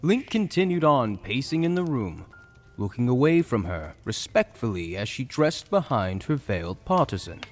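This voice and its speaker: A man's voice: mid-range voice, sing-song, normal speed. A man narrating a fantasy story in a dramatic, scripted storytelling style. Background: soft music.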